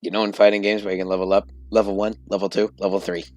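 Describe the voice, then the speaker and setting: A man's voice: fairly low pitch, level tone, rapid-fire, darkish tone, a little hiss or hum. A man hosting a reaction video with casual, joking commentary and informal interjections.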